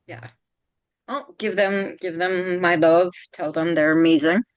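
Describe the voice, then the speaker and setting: A woman's voice: on the low side, fairly even intonation, unhurried, dull audio, crowd noise. A woman with a youthful-sounding voice hosting a casual podcast interview, chatty and rambling with frequent restarts. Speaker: podcast host.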